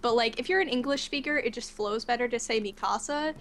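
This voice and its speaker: A woman's voice: on the high side, brisk, fairly bright tone, slight background noise. A woman on a livestream panel, chatting with lots of fillers and small laughs. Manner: chatty, filler-heavy, giggly. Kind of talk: casual livestream chat.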